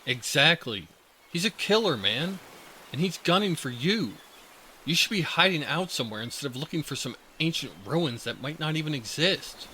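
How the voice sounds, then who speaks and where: An actor playing a skeptical, cautious friend in an audio drama, questioning and doubtful. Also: male voice, on the high side, animated, normal speed, sharp tone, slight background noise. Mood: worried, urging. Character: skeptical, cautious male friend urging retreat.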